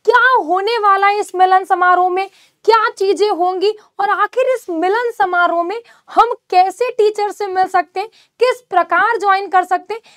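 Woman, young, very high voice, dry close sound, animated teacher, lapel mic, studio. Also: moderate pace, no room sound. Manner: animated, gesturing, direct address to students. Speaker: exam-prep teacher. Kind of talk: energetic online class announcement. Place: studio in front of a presentation screen.

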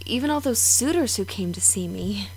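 A woman's voice: medium pitch, lively intonation, moderate pace, a little mellow, husky, low-quality recording. A woman playing a shy, smitten female beast in an audio drama, tentative and earnest.

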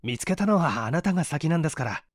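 A weary young man from a mystery story , acted out by a male reader. He speaks with courteous hesitation and gets flustered easily. His voice is lightly pitched and lively, recorded close and dry. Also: quick pace, no echo. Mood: awkward, polite. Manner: polite, hesitant. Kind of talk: dramatized audiobook reading.

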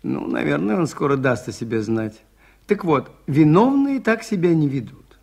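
Radio play: gentle, shrewd priest sleuth, slightly husky and rough, hesitant, courteous. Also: male voice, a little high, big pitch swings, normal speed, full character voice. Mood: patient, explaining. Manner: hesitant, polite, quietly probing. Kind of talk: Soviet radio play acting.

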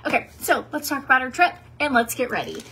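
A woman's voice: sits high, sing-song, fast talker, pushed and bright, slight background noise. Sniffly woman chatting to her phone. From Tennessee, US. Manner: chatty and rambling, lots of likes and ums. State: sniffly from a cold. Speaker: beauty vlogger. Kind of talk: casual get-ready-with-me chat. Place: fancy hotel room, indoors. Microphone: phone held at arm's length.

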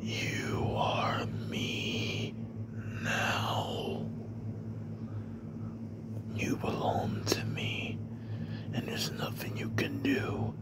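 A man voicing a possessive, lovesick ink demon in a menacing, breathy whisper for an ASMR roleplay. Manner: slow, menacing, drawn-out phrasing.

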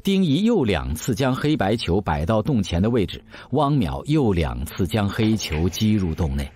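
A man's voice: lowish voice, very animated, normal speed, warm tone, husky, dry recording. A man narrating an audio drama in a scripted storytelling style.

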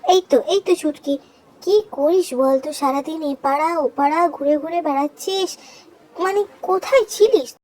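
A woman's voice: high-pitched, normal speed, a little mellow, close to an everyday voice, poor audio. A woman voicing a harried village mother in a cartoon, chiding in an exasperated way.

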